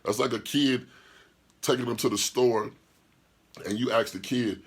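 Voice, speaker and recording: A man hosting a podcast and talking as a DJ, with a deep voice, speaking bluntly and profanely in an opinionated monologue, firing rhetorical questions and answering live chat comments. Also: a little slow, creaky, breathy edge. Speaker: podcast host and DJ.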